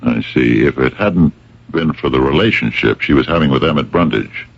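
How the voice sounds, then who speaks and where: An actor playing a dogged, plain-spoken police captain who questions in a halting, probing way, in a radio drama. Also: male voice, a bass voice, vocal fry, breathy edge, heavily acted. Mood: methodical. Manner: halting, probing, deceptively bumbling. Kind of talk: 1970s-80s radio drama acting. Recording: dull lo-fi old radio recording.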